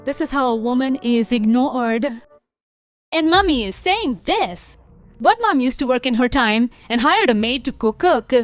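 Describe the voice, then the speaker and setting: Casting: woman as put-upon young housewife in a family cartoon, high voice, dry sound with music behind. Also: animated, a little slow, no echo. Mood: resentful. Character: weary, aggrieved young Indian daughters-in-law in a household. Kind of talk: dubbed cartoon family story dialogue.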